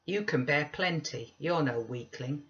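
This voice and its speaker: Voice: mid-range voice, measured pace, fairly bright tone, close to an everyday voice. Reader putting on a harsh bossy threatening guy, storybook, kinda muffled lo-fi sound. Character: cruel domineering grown man, menacing. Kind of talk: audiobook reading. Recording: dull lo-fi recording.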